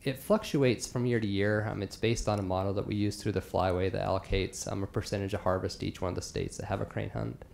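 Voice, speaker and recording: A man in his thirties speaks at a podium in a large hall, his voice low and gravelly. He answers questions in a measured way with frequent ums. A faint hum sits behind him. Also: steady tone, a little mellow. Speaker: wildlife biologist presenting. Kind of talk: answering questions at a public meeting. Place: large government meeting hall. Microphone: podium microphone.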